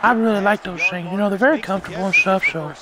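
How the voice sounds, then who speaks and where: A man voicing a creepy, chatty old man on a prank phone call, halting and repeating himself. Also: high-pitched, a loud crowd, pitched above the performer's own voice, natural voice. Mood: rambling, friendly. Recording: very noisy call over game audio.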